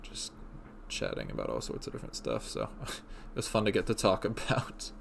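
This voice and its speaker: Chill stream host guy, gravelly lowish voice, bit of hiss behind him. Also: sing-song, moderate pace. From Indiana. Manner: laid-back, laughs often, thanks viewers by name. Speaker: livestream host. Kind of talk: casual chat, reading chat comments aloud.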